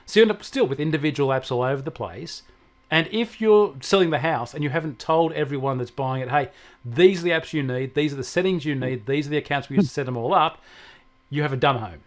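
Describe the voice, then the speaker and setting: A man explaining things conversationally as a technology co-host, telling anecdotes from his own work. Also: a little high. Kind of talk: conversational tech discussion.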